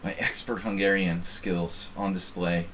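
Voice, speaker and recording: A man's voice: deep voice, steady tone, measured pace, fairly bright tone, slight background noise. An American man casually chatting while streaming online chess, rambling and self-deprecating as he reads the chat. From United States.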